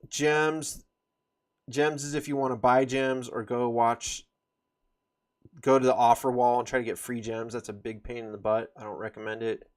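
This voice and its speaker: A man giving an informal, rambling walkthrough of a game, explaining details as he goes. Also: medium pitch. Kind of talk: informal screen-share game tutorial.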